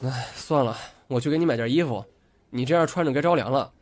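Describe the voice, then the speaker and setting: A man's voice: mid-range voice, fast, slight background noise, close to an everyday voice. A man acting a brash, blunt but caring young friend in an audio drama, prone to exclaiming. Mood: resigned care. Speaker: voice actor.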